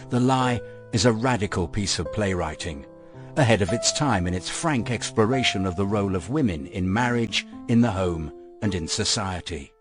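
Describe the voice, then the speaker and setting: A man reading scripted narration as the announcer of a radio play, in a measured, formal manner. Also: on the low side. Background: music under the voice.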